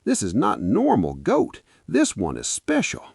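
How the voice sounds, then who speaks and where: Male storyteller as Nigerian male friend, eager, impressed, emphatic, swinging pitch, dry sound. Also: wildly swooping pitch, unhurried, vocal fry, slightly rough, no echo, full character voice.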